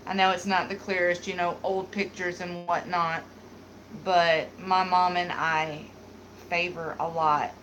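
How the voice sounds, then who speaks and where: A woman's voice: fairly low pitch, little pitch movement, measured pace, fairly bright tone, slight background noise, rough recording. A woman in her thirties with a light Southern accent, chatting casually and rambling with lots of fillers as she hosts a live beauty stream. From American South. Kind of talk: casual live-stream chat reading comments.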